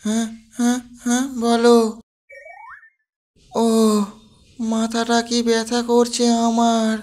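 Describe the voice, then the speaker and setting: A woman's voice: fairly high pitch, monotone, takes it slowly, darkish tone, slight background noise. A woman voicing a gullible, hard-drinking husband in a cartoon comedy. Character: hapless husband, tipsy and gullible. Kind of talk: cartoon voice acting.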